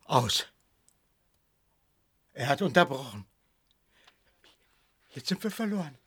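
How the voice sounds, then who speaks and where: A man's voice: mid-range voice, very animated, slow, fairly bright tone, breathy edge, no echo, heavily acted. An actor playing an anxious, stranded husband and father in a science-fiction radio drama, fretful and urgent. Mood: despairing.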